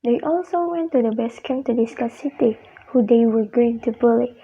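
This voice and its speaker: A woman's voice: a little high, normal speed, vocal fry, breathy edge. A young woman with an Indonesian accent narrating a radio drama from a script. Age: young adult. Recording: dull lo-fi student recording.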